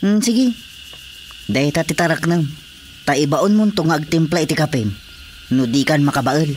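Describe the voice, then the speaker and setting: A man's voice: on the high side, normal speed, a little hiss or hum, close to an everyday voice. A man playing a grumbling, jealous husband in an Ilocano radio drama comedy, with an Ilocano accent. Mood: exasperated. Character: grumbling husband, jealous of his wife's cat.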